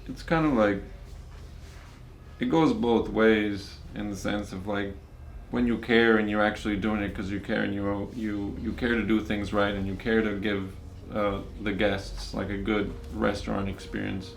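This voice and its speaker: A young man from Venezuela, relaxed and easygoing, who laughs often as he talks. His voice sits low and has a gravelly edge. Faint ambience hums behind him. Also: moderate pace, hardly any pauses, a little hiss or hum. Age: young adult. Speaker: volunteer. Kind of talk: casual conversation. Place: charity kitchen.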